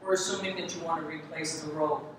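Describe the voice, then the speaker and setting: A presenter explains parts one by one in a live hands-on demonstration. The voice sits at a middling pitch and rings heavily around a reverberant hall, with clear noise in the recording. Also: fairly even intonation, brisk. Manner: explanatory, defining each part as it is shown. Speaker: presenter, window restorer. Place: large echoey room in a city hall. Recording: echoey hall, distant, noisy live event recording.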